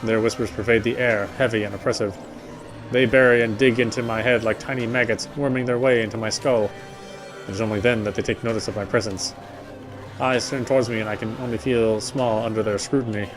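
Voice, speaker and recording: A man's voice: lowish voice, fairly even intonation, fairly quick. A man streaming a visual novel, reading its story aloud and commenting casually. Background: faint game music.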